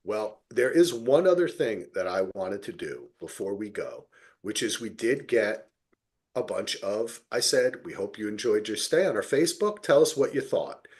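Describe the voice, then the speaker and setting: A man's voice: lowish voice, big pitch swings, normal speed, darkish tone. A man hosting a casual TV recap podcast, chatting informally and animatedly as he talks through the show and listener comments. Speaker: podcast host.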